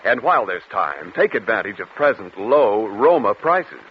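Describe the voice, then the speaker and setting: A man's voice: fairly low pitch, very animated, normal speed. A man working as a radio announcer, reading a sponsor advert in 1940s broadcast style. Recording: dull, lightly noisy vintage radio recording.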